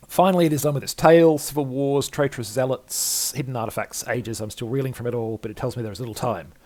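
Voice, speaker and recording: A man reading a game journal as an anxious scholar who doubts his sanity, rambling and repeating himself in a gravelly voice. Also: medium pitch, fairly even intonation, fast, darkish tone, close to an everyday voice. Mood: reeling, anxious. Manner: rambling, self-repeating, fretful.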